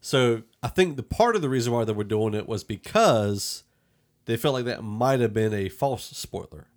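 A man's voice: mid-range voice, expressive, normal speed. A man hosting a podcast, chatting casually about films and thinking aloud as he goes. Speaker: podcast host. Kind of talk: casual film review chat.

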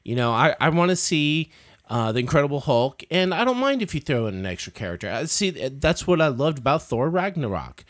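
Animated, contrarian male podcast co-host. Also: a little high, a little fast. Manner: contrarian, wry, bantering. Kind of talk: casual chat, film opinion.

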